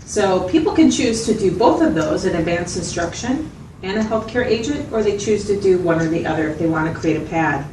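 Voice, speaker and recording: A woman playing a patient, attentive mental health clinician who explains things in a measured way and checks for agreement. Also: lowish voice, expressive, lightly acted. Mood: calm explaining. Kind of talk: acted clinical interview, explanatory. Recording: roomy, distant mic, noticeable background noise.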